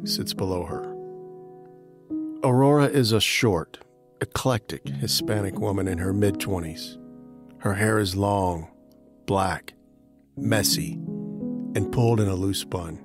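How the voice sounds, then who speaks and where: A man narrating an audio drama from a script, describing scenes. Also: lowish voice. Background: instrumental music.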